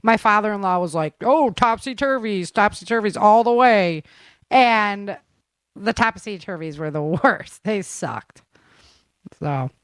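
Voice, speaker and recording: A woman's voice: medium pitch, animated, unhurried, no room sound, clean studio sound. An American woman hosting a podcast in a casual, chatty, informal and enthusiastic way. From United States. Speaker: podcast host. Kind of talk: casual interview chat.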